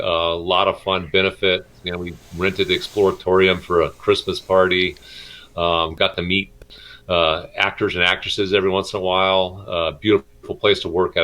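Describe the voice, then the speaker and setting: A middle-aged man, a schoolteacher, answering interview questions informally in a halting, hesitant way with many pauses. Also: low-pitched, bright, forceful tone. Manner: halting, hesitant delivery with frequent pauses and repeats. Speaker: middle school math and science teacher.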